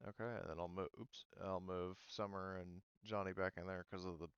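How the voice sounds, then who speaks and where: A man casually narrating a tabletop roleplaying game as game master, speaking haltingly as he searches for words. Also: low voice, flat delivery, clean tone, dry recording, muffled recording.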